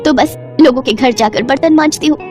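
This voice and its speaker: A woman's voice: high voice, fairly quick, a little mellow, faint music behind, lightly acted. A woman voicing a kind, humble old widow who sells food from a cart, with homely, affectionate speech in a story. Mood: dejected.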